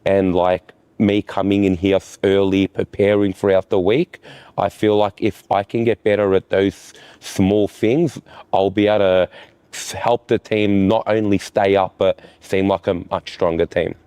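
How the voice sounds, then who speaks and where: A man, a futsal goalkeeper, answers interview questions in a low, mellow voice. He keeps restarting his sentences and filling gaps with "like". The sound is close and dry. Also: level tone, smooth, no room sound. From Australia. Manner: halting, restarts often and leans on "like". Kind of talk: post-season player interview.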